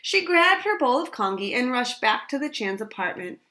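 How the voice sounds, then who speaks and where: A woman reading a picture book aloud for children, pausing to ask listeners questions about the story. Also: a little high, sing-song, sharp tone. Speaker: storytime reader. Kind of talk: read-aloud storytime narration.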